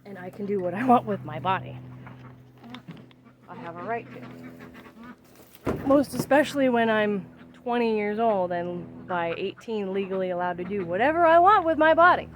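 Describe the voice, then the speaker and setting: A woman's voice: medium pitch, lively intonation, normal speed, pauses often, lo-fi sound, noisy background. A woman in her thirties chatting casually in a vlog, rambling and emphatic as she works outdoors in her backyard. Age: 30s. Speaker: vlogger.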